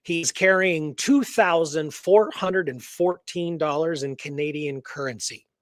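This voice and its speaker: A man with a slightly high voice hosts a conversational radio show. He reads notes deliberately, stressing the details, then drops into casual commentary. The sound is very dry, with no room to it. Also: no room sound. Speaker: co-host presenting a true story.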